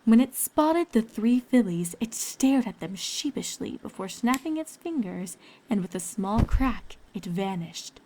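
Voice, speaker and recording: A woman's voice: fairly high pitch, lively intonation, unhurried, mellow, low-quality recording. A woman storyteller reading scripted fiction aloud, with ambient noise behind her.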